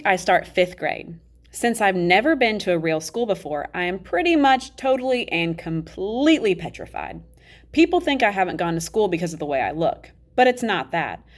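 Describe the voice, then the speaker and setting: A woman's voice: lowish voice, natural voice. Woman reading as a candid ten-year-old boy. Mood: candid, uneasy. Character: ten-year-old boy narrator, candid, self-aware, matter-of-fact. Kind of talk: children's novel read-aloud.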